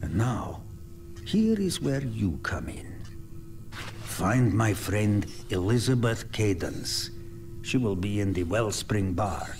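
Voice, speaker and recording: An actor playing an earnest, eccentric scientist in a video game cutscene, urging and deliberate in his speech. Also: lowish voice, very animated, a little mellow, far from the mic, soft background music, exaggerated voice. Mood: urgent, cautioning.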